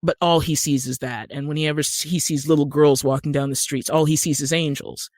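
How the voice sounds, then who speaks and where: A woman's voice: deep voice, steady tone, a little fast, darkish tone, dry recording, studio quality. A middle-aged American woman telling stories conversationally and emphatically as an interview guest. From Utah, United States. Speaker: podcast guest, screenwriter and actor.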